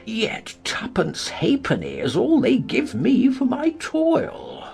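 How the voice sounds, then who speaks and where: A mild, ancient peddler recites verse with a creaky voice and huge swoops of pitch. The delivery drags very slowly, as in a storybook drama. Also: male voice, wildly swooping pitch, a little mellow, heavily acted. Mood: mild, plaintive. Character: mild, very aged poor peddler man.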